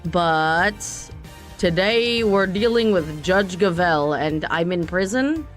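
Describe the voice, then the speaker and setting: A woman streamer with a slightly raspy voice, giving chatty, jokey commentary while playing a game. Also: fairly low pitch, expressive, slow, soft background music. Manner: chatty, jokey asides to chat. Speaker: vtuber streamer. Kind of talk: playthrough commentary.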